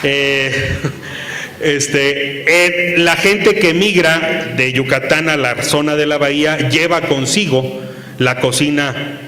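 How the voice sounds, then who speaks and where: A man giving a talk at a conference, unhurried, with frequent hesitations and fillers. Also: on the high side, pushed and bright. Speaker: conference speaker. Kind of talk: presentation to an audience. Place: conference hall. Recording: echoey hall, long reverb.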